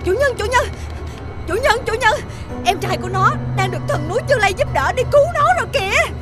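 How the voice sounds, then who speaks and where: A woman voicing a sneaky, spiteful servant creature in an animated fairy tale, excitable, fawning and conniving. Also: very high voice, a little fast, over loud music. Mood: fawning, excited.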